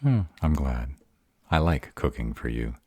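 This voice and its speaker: A man voicing a gruff, bashful were-dragon in human form, hesitant, earnest and tender in an intimate romantic audio roleplay. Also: a bass voice, very animated, a little slow, mellow, no echo, full character voice. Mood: earnest, caring.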